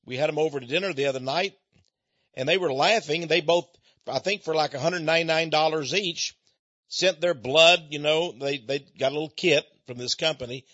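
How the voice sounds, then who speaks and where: Folksy, chuckling American man, higher voice. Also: a bit bright, no echo, highs cut off. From United States. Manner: folksy, digressive, chuckles often. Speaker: speaker addressing listeners. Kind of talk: rambling personal monologue.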